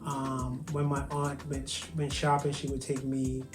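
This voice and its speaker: Soft-spoken Barbadian man on a video call. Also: medium pitch, completely flat delivery, measured pace, reverberant, music in the background. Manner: soft-spoken, hesitant, many ums and restarts. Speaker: guest chef. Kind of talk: virtual interview, casual storytelling.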